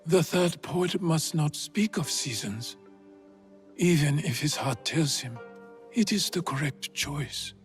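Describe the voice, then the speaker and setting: Game role: solemn feudal lord, probing and measured, fairly high expressive voice, music underneath. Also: male voice. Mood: grave, philosophical. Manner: measured, formal, deliberate. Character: grave, probing feudal Japanese lord, reflective and regretful. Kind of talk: video game cutscene acting.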